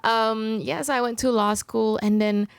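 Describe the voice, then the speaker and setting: A woman's voice: on the high side, fairly even intonation, moderate pace, gravelly, clean tone, dry recording. A young woman from Malaysia, a singer chatting casually as an interview guest, filling her talk with "like" and "um". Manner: chatty, many fillers like "like" and "um". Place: recording studio.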